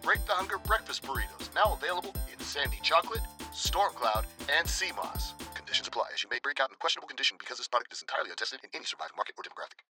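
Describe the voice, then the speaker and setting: A man's voice: mid-range voice, lively intonation. A man as a punchy parody ad announcer over music. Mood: salesy. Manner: punchy salesmanship, rushed disclaimers. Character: slick, over-eager commercial announcer. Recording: loud music bed behind voice.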